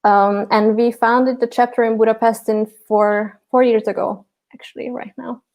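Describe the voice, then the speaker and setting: A woman's voice: fairly high pitch, steady tone, normal speed, dull audio. A woman from Hungary, introducing herself casually on a video panel, with fillers and small laughs. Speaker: panelist, UX designer. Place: home room with balcony door.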